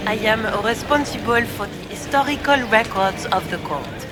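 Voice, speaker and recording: A woman's voice: mid-range voice, animated, moderate pace, close to an everyday voice. A woman voicing a gracious, formal court historian in dramatic scripted fantasy game dialogue. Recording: noisy game ambience behind.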